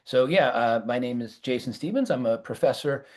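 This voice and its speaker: An American man speaking as a professor on a webinar panel, halting often with fillers and restarts over a video call. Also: medium pitch, expressive. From United States.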